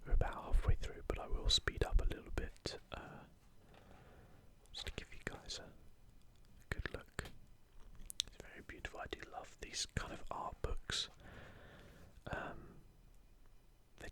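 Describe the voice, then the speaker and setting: Hushed, breathy ASMR whisperer. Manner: hushed, unhurried, rambling asides. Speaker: ASMR video host. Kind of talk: whispered ASMR commentary on an art book.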